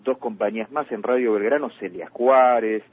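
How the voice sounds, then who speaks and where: A man's voice: mid-range voice, steady tone, normal speed, narrow, tinny audio, slight background noise. A man with a Rioplatense Argentine accent hosting a radio interview about past programming. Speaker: radio host. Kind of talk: radio interview talk, reading out old programme listings.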